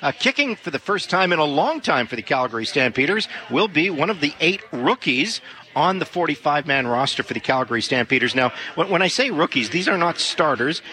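A man's voice: on the high side, big pitch swings, a little fast, pushed and bright. A man hosting a sports talk show, chatty and jokey, restarting his sentences as he explains the team news. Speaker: sports radio host.

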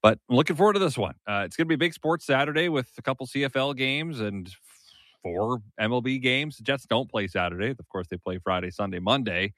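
A man hosting a sports talk show, briskly setting up interview clips and commenting on games. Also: mid-range voice, expressive, a bit bright, no room sound. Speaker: sports radio host.

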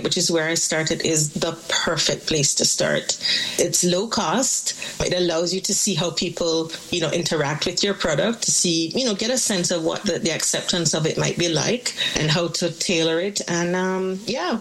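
A woman's voice: on the low side, moderate pace, a bit bright, low-quality recording. A middle-aged woman, born in Canada and raised in Jamaica, chatting as a small business owner in a radio interview and laughing often as she talks.

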